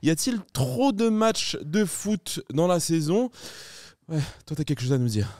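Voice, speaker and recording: A man hosts a casual podcast in a relaxed, friendly way, introducing the panel and prompting the next speaker. His voice sits at an ordinary pitch and is recorded dry and close. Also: a little slow, dry recording. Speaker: podcast host.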